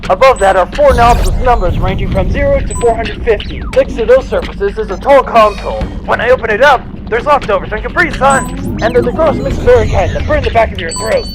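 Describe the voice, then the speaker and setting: Man as a high-pitched clueless crewman. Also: fairly quick, few pauses, over music, low-quality recording. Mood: baffled. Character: clueless, bewildered starship crew member. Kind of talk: comedic audio drama acting.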